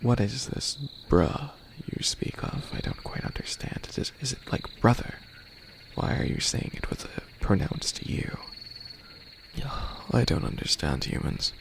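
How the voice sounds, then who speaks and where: Man voicing a gravelly, soft-spoken skeleton demon lord. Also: on the low side, big pitch swings, a little slow, background noise, exaggerated voice. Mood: playful, puzzled. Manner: soft-spoken, partly whispered, hesitant, old-fashioned formal wording. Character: centuries-old skeleton demon lord, playful, curious, bewildered by modern humans. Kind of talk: ASMR roleplay monologue.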